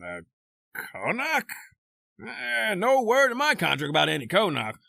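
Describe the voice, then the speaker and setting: High, gleefully cruel sea captain. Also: male voice, wildly swooping pitch, measured pace, vocal fry, sharp tone, no room sound, studio quality, full character voice. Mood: callous glee. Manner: condescending, gleeful, theatrical. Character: callous, greedy, jovially cruel male schooner captain. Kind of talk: old-time radio horror acting.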